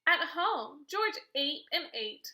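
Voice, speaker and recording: Slow, high-pitched woman reading to children. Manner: expressive, deliberate, child-directed delivery. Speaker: teacher reading a children's book aloud. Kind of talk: read-aloud children's storytelling.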